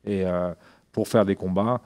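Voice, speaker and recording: Middle-aged guy from réunion, very low voice, halting with lots of ums. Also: steady tone, darkish tone. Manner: halting, many fillers and restarts. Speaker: MMA coach and former champion. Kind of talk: interview.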